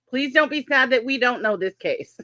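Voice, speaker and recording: Podcast lady greeting chat, bright voice bouncing all over, dry close mic. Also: fairly high pitch, lively intonation, moderate pace, dry recording. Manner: chatty, greets viewers by name. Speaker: podcast co-host. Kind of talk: casual chat with live viewers.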